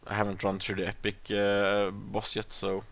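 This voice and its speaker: A man with a Swedish accent gives relaxed gameplay commentary in a somewhat low voice. He thinks out loud, stalling on ums and uhs and restarting his sentences. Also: normal speed. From Sweden. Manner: rambling, hesitant, many fillers and restarts. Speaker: gaming channel host.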